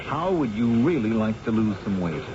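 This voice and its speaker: An animated, insistent newspaper reporter. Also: male voice, lowish voice, normal speed, crowd noise. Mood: eager, persuasive. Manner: insistent, informal, salesman-like. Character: persuasive, fast-talking male newspaper reporter, insistent. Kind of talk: old-time radio drama acting. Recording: dull old radio recording.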